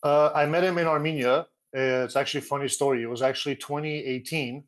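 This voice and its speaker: A man's voice: a little high, quick pace. Young man from Los Angeles, interview guest, easygoing storyteller heard through an earphone mic on a call. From Los Angeles, USA. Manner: relaxed storytelling, with frequent fillers. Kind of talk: casual interview. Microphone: video call with earphone mic.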